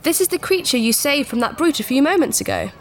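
An actress playing a proud, secretive alien princess in disguise, formal and precise, in a sci-fi audio drama. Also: female voice, high voice, big pitch swings, fast, slight background noise. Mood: polite, matter-of-fact. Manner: formal, precise, earnest, easily affronted.

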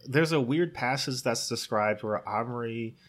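A man in casual conversation, recounting a story in a halting, rambling way with lots of "like". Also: medium pitch, fairly bright tone. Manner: rambling, halting, heavy use of "like". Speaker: podcast co-host. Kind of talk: casual chat, recounting a plot.

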